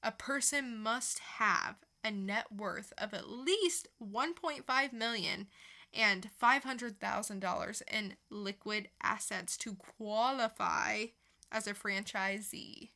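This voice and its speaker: A woman's voice: medium pitch, slow pace, sharp tone. A woman co-hosting a podcast in a casual, chatty quiz-banter style. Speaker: podcast co-host.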